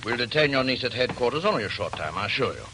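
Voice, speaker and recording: Composed police inspector, bright forceful voice, formal and courteous, slightly noisy vintage radio drama. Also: male voice, medium pitch, very animated, fast talker. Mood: formal, grave. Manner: formal, matter-of-fact. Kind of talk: 1940s radio drama acting. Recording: noisy old 1940s radio broadcast.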